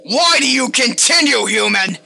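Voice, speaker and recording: A man voicing a sinister, contemptuous disembodied game narrator, delivered in a condescending, theatrical and deliberate way. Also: very high voice, expressive, gravelly, pushed and bright, soft background music, pitched above the performer's own voice, exaggerated voice. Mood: furious shouting. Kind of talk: dramatic reading of a text-based game.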